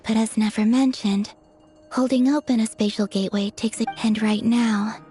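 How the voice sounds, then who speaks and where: A woman voicing a serene, quietly assured young moon goddess in a fantasy video game, gentle and unhurried. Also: fairly high pitch, steady tone, soft background music, lightly acted.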